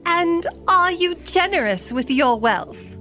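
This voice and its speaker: A woman playing a playful, affectionate young wife with a teasing, animated delivery in a radio drama. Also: very high voice, takes it slowly, faint music behind, exaggerated voice. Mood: excited.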